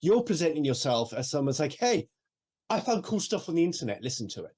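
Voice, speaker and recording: This is a man from Wales who now talks with an English accent, co-hosting a relaxed podcast. His voice swings up and down with lots of life, and he laughs often and swears offhandedly as he chats. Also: a little high, fairly quick. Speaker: podcast co-host. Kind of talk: casual chat.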